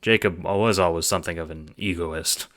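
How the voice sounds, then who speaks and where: A man's voice: low-pitched, fairly even intonation, moderate pace, fairly bright tone. A man reading scripted audiobook narration as the narrator of an audio drama. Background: music.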